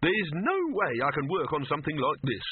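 A man's voice: on the high side, expressive, fairly quick, husky, pitched above the performer's own voice. A man voicing a stiff, fussy alchemist businessman in an audiobook, spluttering with indignation. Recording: narrow phone-like radio sound.

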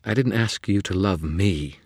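Brief: sly purring fairy woman, a man voicing her high and breathy, dry close sound. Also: very animated, unhurried, no echo, heavily acted. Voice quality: purring, teasing, whispery at times. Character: sly seductive female fairy, bargaining and purring. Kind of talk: audiobook character voice.

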